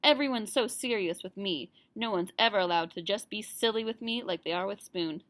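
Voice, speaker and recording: A woman's voice: medium pitch, a little fast, fairly bright tone, no room sound, close to an everyday voice. A woman voicing a male table knife in a storybook, earnest, admiring and wistful.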